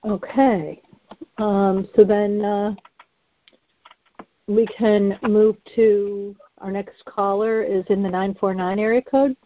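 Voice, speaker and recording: Woman moderator, slow, slightly high voice, dry muffled line. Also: steady tone, no room sound. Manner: unhurried, hesitant fillers. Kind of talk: moderating a call.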